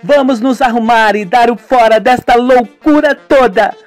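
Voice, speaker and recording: A woman's voice: on the high side, moderate pace, a little mellow, dry recording, soft background music, voice pushed up, close to an everyday voice. A man voicing a determined young woman tourist who talks urgently and insistently.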